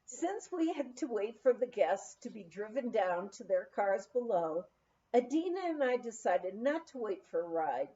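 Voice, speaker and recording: A woman's voice: medium pitch, very animated, unhurried, dark tone, dull audio. A woman reading a written memoir aloud as an audiobook narrator. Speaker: audiobook reader.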